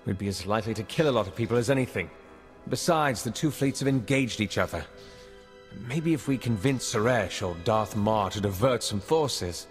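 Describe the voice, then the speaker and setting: A man's voice: fairly low pitch, expressive, normal speed, lightly acted. An actor playing an earnest, apologetic male intelligence agent weighed down by guilt in a video game cutscene. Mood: anxious, frustrated. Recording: reverberant hall, music underneath.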